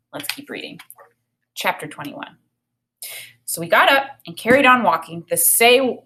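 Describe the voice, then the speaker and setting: A woman teacher reading a children's story aloud in an expressive, dramatic way, pausing to comment for learners. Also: medium pitch.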